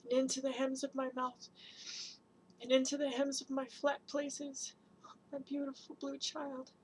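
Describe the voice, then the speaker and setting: Incantatory woman, high voice. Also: monotone, measured pace, a little hiss or hum, rough recording. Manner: incantatory, chanting long repeated lists; swings between hushed whispers and loud cries. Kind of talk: dramatic spoken-word performance.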